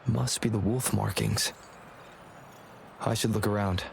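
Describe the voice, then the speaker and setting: A brooding male video game hero with a lowish, gravelly voice. He speaks tersely, as if thinking aloud, over audible ambience. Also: steady tone, measured pace. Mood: pensive. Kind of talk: video game voice acting, inner monologue. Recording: ambient noise behind voice.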